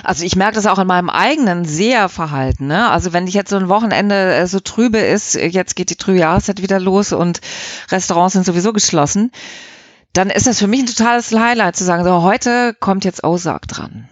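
A woman's voice: medium pitch, brisk, no echo. Enthusiastic woman, slightly dull recording. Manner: enthusiastic, emphatic. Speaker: interview guest, audio drama editor. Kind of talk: casual interview conversation.

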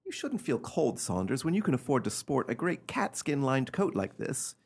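Radio play, nervous gentleman of means, theatrical, high-strung, rough husky tone, very animated. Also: moderate pace. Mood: breezy, teasing. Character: nervous, haunted, imperious well-off gentleman in terror.

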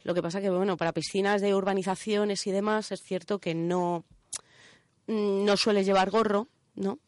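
A woman's voice: mid-range voice, fairly even intonation, moderate pace, darkish tone, no echo, studio quality. A woman with an accent from Spain presenting a segment in casual radio chat, colloquial and often checking in with short tag questions.

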